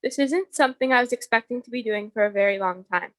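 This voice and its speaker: A woman's voice: fairly high pitch, quick pace, no room sound. A young woman delivering a prepared, personal eulogy as a bereaved daughter.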